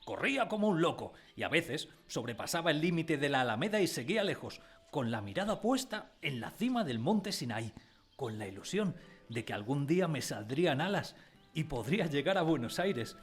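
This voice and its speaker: A man's voice: fairly high pitch, very animated, moderate pace, slightly rough. A man playing a wistful narrator who recalls his village boyhood in a scripted radio drama. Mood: excited, dreamy. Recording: music under the voice.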